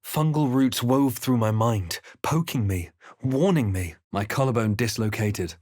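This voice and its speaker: A man playing a grave, wary fantasy adventurer, delivering scripted game lines in a measured, dramatic way. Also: medium pitch, animated, darkish tone, no room sound, studio quality. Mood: grave, haunted.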